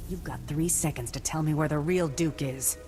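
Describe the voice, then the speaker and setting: An actress playing a tough, blunt bounty hunter in a video game, curt and threatening. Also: female voice, deep voice, moderate pace, over loud music, natural voice. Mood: cool menace.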